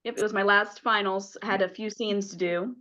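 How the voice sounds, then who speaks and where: A woman's voice: mid-range voice, fairly even intonation, normal speed, bright, forceful tone. A woman in her twenties, an actor, chatting casually about personal experience. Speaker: actor taking part in a table read. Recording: dull, lo-fi call audio.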